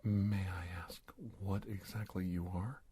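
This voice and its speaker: A man playing a cautious, polite government investigator in a soft-spoken ASMR roleplay, speaking haltingly and often dropping to a whisper. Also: low-pitched, flat delivery, slightly rough, exaggerated voice. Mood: calm, courteous. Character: cautious, polite government investigator doctor confronting a disguised creature. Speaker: ASMR performer.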